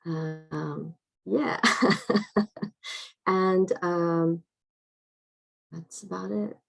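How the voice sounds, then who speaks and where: A woman's voice: on the low side, steady tone, very slow, gravelly, rough recording. A woman from Iran, a nonprofit director, giving a brief, understated introduction on a video call.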